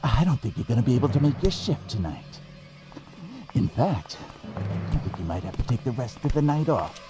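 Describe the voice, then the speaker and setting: An actor in an audio drama playing a bullying crooked cop, mocking and full of threat. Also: male voice, mid-range voice, very animated, normal speed, close to an everyday voice. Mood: mocking menace. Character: sneering, bullying corrupt male cop, menacing. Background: music.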